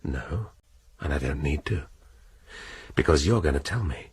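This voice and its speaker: An actor playing a gruff, sardonic police inspector, blunt and dry in his questioning. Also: a bass voice, expressive, unhurried, a little mellow, full character voice. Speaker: police detective. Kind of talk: radio drama acting.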